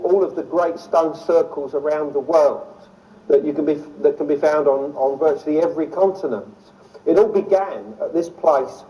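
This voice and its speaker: A man from London with a London accent, giving an illustrated lecture in an enthusiastic manner. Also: fairly high pitch. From London, England. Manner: enthusiastic, easily excited. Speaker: lecturer on ancient sites. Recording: voice distant from the mic.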